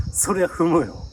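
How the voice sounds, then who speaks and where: A man's voice: high-pitched, a little hiss or hum. A jittery, easily scared man reacting in casual banter as co-host of an outdoor night exploration.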